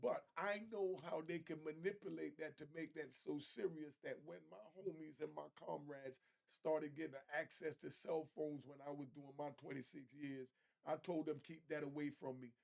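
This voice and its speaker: A man's voice: fairly high pitch, fairly even intonation, normal speed, vocal fry, a little mellow. A middle-aged man giving casual, slangy commentary as a streetwise host who explains things conversationally.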